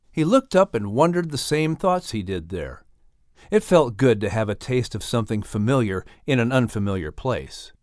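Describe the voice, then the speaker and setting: A male audiobook narrator with a mid-pitched voice tells a children's story. His delivery is animated and dramatic, with careful phrasing and a dry studio sound. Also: dry recording. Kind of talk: expressive scripted storybook narration.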